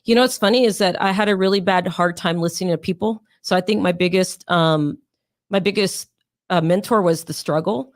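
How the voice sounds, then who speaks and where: A young adult woman, hoarse and raspy from sinus pressure, chatting as an interview guest in a rambling way with many fillers. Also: lowish voice, fairly quick, dry recording, studio quality. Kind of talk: casual interview storytelling.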